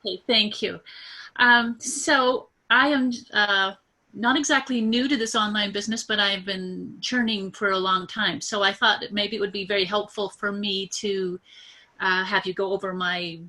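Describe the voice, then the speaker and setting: A nervous woman explaining her business idea on a video call, halting and full of fillers and restarts. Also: medium pitch, fairly even intonation, bright, forceful tone. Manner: hesitant, many fillers and restarts. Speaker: coaching client.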